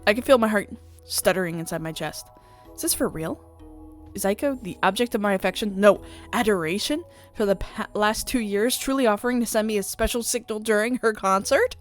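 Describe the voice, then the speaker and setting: A woman's voice: mid-range voice, brisk, close to an everyday voice. A woman voicing a prickly female idol superfan in a visual novel, blunt, indignant and sceptical. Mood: flustered, excited. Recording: music playing behind the voice.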